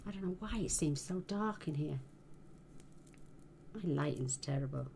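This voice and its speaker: A woman hosting a casual card-making tutorial, chatting in a homely way as she works, her voice a little croaky. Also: deep voice, animated, moderate pace, mellow, slight background noise. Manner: chatty, homely, talks while working. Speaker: card-making channel host.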